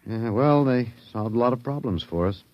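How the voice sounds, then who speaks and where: An actor playing a wry, detached, philosophical American academic, musing and hesitant, in a 1950s radio drama. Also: male voice, medium pitch, lively intonation, moderate pace, close to an everyday voice. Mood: darkly amused. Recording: dull vintage 1950s radio recording.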